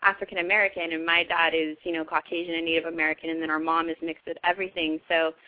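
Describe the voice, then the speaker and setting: A woman's voice: sits low, little pitch movement, fast talker. A woman from Hawaii chatting effusively as an interview guest, giggly and casual, over a phone call. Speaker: interview guest, model and entertainer. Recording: dull phone call audio.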